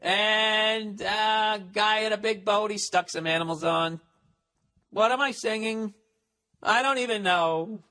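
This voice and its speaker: A man's voice: high-pitched, fairly even intonation, slow pace, sharp tone. A man in his forties in a solo comedic podcast rant, profane and sarcastic. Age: 40s. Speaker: podcast host.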